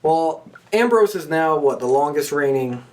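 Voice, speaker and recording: A man speaks in a casual podcast style with animated, varied intonation. He is informal and blunt, giving opinions as a co-host would in a relaxed panel chat. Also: on the high side, moderate pace. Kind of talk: casual panel chat about pro wrestling.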